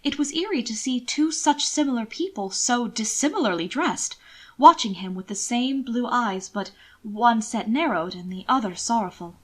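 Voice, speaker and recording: Casting: female audiobook narrator, somewhat high voice, highly varied intonation, expressive scripted storytelling. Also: moderate pace.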